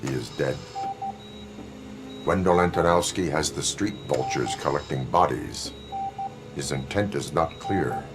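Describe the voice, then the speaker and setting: A man playing a grim, dutiful cyborg police officer in a video game, speaking in measured, stiff, clipped phrases. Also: extremely low pitch, lively intonation, over music, exaggerated voice. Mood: subdued, reflective. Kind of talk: video game cutscene acting.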